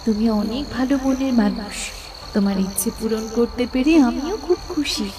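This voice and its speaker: A woman voicing a kindly magic mirror in a children's fairy-tale cartoon, gentle and consoling, with formal diction. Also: a little high, normal speed, noisy background, lightly acted. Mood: gentle, serene. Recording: music clearly behind the voice.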